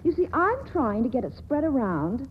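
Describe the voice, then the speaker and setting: A woman's voice: sits high, big pitch swings, moderate pace, breathy edge, full character voice. An actress playing an impulsive young woman with a coquettish, petulant manner, in 1940s radio acting style. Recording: muffled old radio broadcast, faint hiss.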